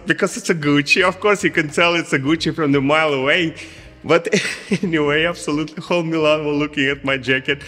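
A man's voice: medium pitch, fairly bright tone, in a large hall. A man in his forties from Estonia, a native Russian speaker with a Russian accent, chatting enthusiastically in a rambling fashion haul vlog, recorded on a chest mic in a large loft room. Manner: enthusiastic, rambling, gushing superlatives. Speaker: fashion vlogger.

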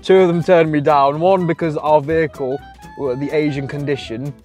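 A man's voice: on the high side, fairly even intonation, normal speed, darkish tone. A young man in casual, playful chat inside a small car, bantering and laughing, with music behind. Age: young adult. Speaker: YouTuber.

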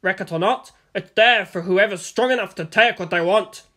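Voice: medium pitch, normal speed, fairly bright tone, close to an everyday voice. A narrator voicing a cruel, leering male kidnapper who talks in a taunting, menacing way. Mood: contemptuous.

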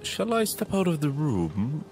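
A man's voice: fairly high pitch, wildly swooping pitch, measured pace, darkish tone, faint music behind, pitched above the performer's own voice, heavily acted. A man voicing a courteous, curious inquisitor priest who speaks formally and hesitantly. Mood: polite, guarded.

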